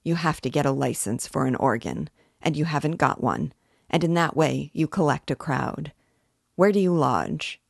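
Audiobook role for a female narrator: businesslike government man, firm, low register with creak, officious delivery. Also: normal speed, dry recording, studio quality. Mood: officious rebuke. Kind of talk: dramatic audiobook character reading.

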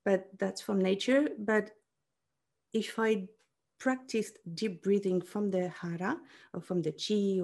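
A woman coach with a soothing manner, explaining slowly and searching for words in an online conference session over a video call. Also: medium pitch, darkish tone. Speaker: embodiment coach, session speaker.

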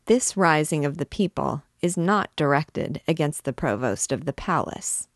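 Spiteful court barber, voiced by a woman, cold and deliberate, dry close sound. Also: low voice, lively intonation, no room sound. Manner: cold, measured. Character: spiteful male royal barber, cold and sly.

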